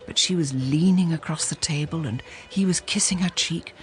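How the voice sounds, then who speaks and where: A woman's voice: sits low, moderate pace, lightly acted. An actress playing a wry, jealous young English wife who narrates her own story with sardonic relish. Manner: sardonic, relishing descriptive words. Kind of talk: first-person dramatised narration. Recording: clear music under narration.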